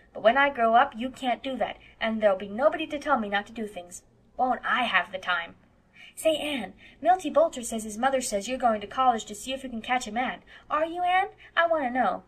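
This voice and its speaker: A woman reader plays a stubborn eight-year-old country boy from a storybook. He speaks in blunt, insistent bursts with homely childish grammar, pushed up higher and louder. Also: lively intonation, brisk, husky, rough recording. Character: defiant, plain-spoken eight-year-old farm boy. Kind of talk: audiobook character voice.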